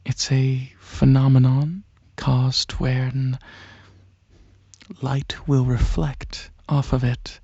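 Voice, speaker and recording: A man voicing a regal, courteous dragon alien prince in a soft-spoken ASMR roleplay, with a husky, breathy voice and a hesitant, musing, explaining manner. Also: medium pitch, little pitch movement, extremely slow, dry recording, highs cut off, full character voice. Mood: soft, proud.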